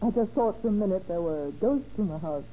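An actress playing a coy, gushing, calculating gold-digger in a 1930s radio drama. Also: female voice, medium pitch, animated, brisk, warm tone, lightly acted. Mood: coy, friendly. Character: scheming, sweet-talking gold-digging married woman. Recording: muffled, noisy old radio recording.

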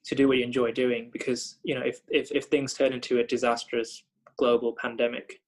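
A man's voice: medium pitch, level tone, a little fast. A young man from Thailand working as a hospital doctor, talking thoughtfully with many fillers and repeated starts. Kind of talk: reflective conversation.

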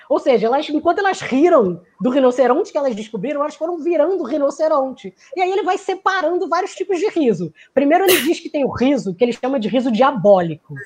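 A woman with a high voice gives a reflective talk, speaking like someone from the theater. She muses aloud and searches for words. When she quotes people, she briefly acts out their lines. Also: animated, quick pace, few pauses, a little mellow. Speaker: theater performer giving a talk.

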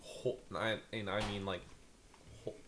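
A man's voice: fairly low pitch, steady tone, normal speed, a bit bright. A man playing a tabletop game, rambling playfully in casual chat. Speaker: player.

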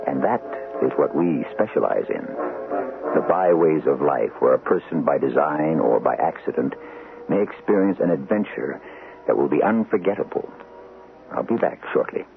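Very deep, gravelly male mystery host. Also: lively intonation. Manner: measured, philosophical, ominous. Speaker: host and narrator of mystery series. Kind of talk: scripted vintage radio narration. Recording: lo-fi old radio recording, music behind.

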